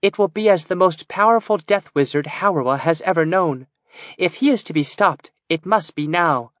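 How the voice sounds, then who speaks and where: A man's voice: a little high, normal speed, fairly bright tone, dry recording, voice pushed up, close to an everyday voice. A man voicing a proud, stern female elf wizard who speaks in a commanding, cryptic way. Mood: urgent.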